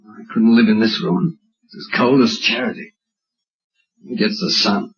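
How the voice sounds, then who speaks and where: An actor playing a reluctant, wary husband who grumbles drily, in old-time radio drama style. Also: male voice, mid-range voice, normal speed, gravelly, faint music behind. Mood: gloomy. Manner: dry, grumbling, expressive. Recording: dull lo-fi old radio recording.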